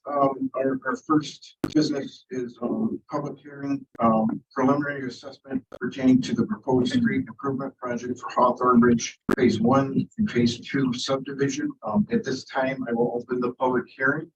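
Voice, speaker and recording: A man chairing a village council meeting, moving through the agenda haltingly with many ums. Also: mid-range voice, sing-song, gravelly, breathy edge. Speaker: council president. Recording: dull, lo-fi meeting audio.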